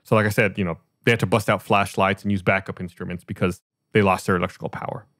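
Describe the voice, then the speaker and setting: A man's voice: deep voice, fairly even intonation, fast, fairly bright tone, no room sound, studio quality. An American man hosting a podcast, reading out and explaining a report in a matter-of-fact way. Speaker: podcast host.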